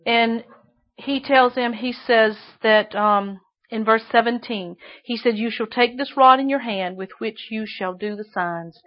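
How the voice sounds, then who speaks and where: A woman preaching a sermon with a shrill voice, emphatic and repeating phrases for stress. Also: a little slow, highs cut off.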